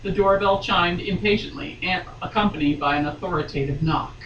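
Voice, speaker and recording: A woman's voice: lowish voice, a little fast, far from the mic, highs cut off. Roomy echo, faint noise, woman, theatrical book reading, wry commentary. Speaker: podcast guest reading a novel aloud. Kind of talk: dramatic reading with mocking asides.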